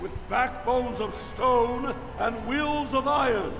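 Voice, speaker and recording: An actor playing a proud, ceremonious dwarven proving master in a fantasy video game, declaiming formally. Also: male voice, extremely high pitch, slow pace, full character voice. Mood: proclaiming. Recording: long echoing arena reverb, music behind.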